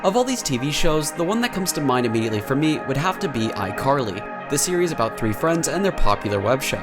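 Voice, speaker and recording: A man's voice: medium pitch, very animated, fast. A man narrating a scripted explainer video. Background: prominent background music.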